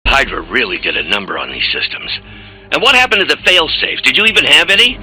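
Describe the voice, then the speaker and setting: A man's voice: medium pitch, sing-song, fast talker, sharp tone, faint music behind, full character voice, rough recording. An actor playing a harried, testy male scientist in a video game, exasperated and sarcastic. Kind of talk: video game character dialogue.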